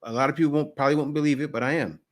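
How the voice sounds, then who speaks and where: A man's voice: fairly high pitch, steady tone, rapid-fire. A man in his forties giving loose, conversational reaction commentary and repeating himself. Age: 40s. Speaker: host reacting to a video.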